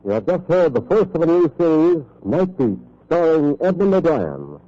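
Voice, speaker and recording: A man's voice: a little high, big pitch swings, moderate pace, warm tone, dry recording. A man reading scripted credits as a 1940s radio announcer. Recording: muffled 1940s radio recording.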